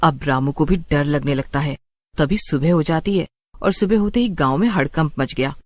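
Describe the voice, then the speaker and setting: A woman's voice: low voice, moderate pace, darkish tone, no room sound. A woman narrating a scripted horror story in a dramatic storytelling style.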